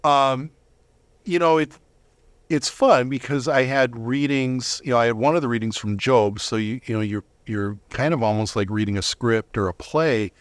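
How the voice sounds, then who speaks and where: A man's voice: medium pitch, normal speed, no room sound. A man giving earnest, explanatory answers as a guest in a conversational interview. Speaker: interview guest, volunteer Bible reader.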